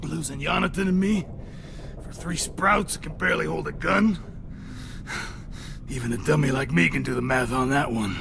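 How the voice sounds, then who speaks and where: Game villain: injured middle-aged captive raider, heavily gravelled voice, sardonic and menacing, strained delivery, noisy capture. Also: male voice, a little high, normal speed, pauses often. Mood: bitter, menacing. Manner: taunting, profane, sardonic. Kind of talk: video game voice acting.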